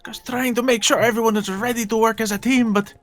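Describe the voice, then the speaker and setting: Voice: mid-range voice, steady tone, quick pace, natural voice. A performer voicing a grave, weary magic mentor in an improvised Minecraft roleplay, solemn but reassuring, with music behind. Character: Lofo, grave, defeated magic mentor of a guild.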